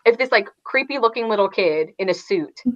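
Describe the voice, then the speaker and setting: A woman's voice: mid-range voice, expressive, brisk, fairly bright tone, lo-fi sound. A woman in a casual, rambling book discussion, peppering her sentences with "like". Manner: rambling, frequent "like". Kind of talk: casual chat about books.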